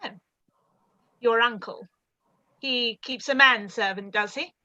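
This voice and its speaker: Brief: imperious aristocratic dowager, played by a woman, condescending, crisp and forceful, lo-fi mic. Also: fairly high pitch. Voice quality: haughty, condescending, drawn-out. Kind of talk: live-read radio play.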